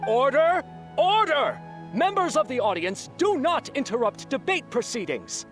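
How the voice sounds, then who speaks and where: A woman's voice: high voice, big pitch swings, unhurried, soft background music. A woman playing a stern, officious debate moderator in a game cutscene, formal and commanding. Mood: exasperated.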